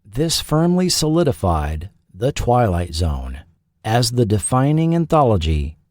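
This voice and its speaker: Measured male documentary narrator, lively intonation. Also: medium pitch, no room sound, studio quality. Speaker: podcast host and narrator. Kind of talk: scripted documentary narration on broadcast history. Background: background music.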